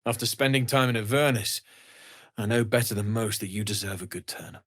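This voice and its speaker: A man playing an earnest, kindly blacksmith in a video game, weary from surviving the hells, speaking with gentle, understated sincerity. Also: on the low side, a little fast. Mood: friendly advice. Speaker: video game character actor. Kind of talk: acted video game dialogue.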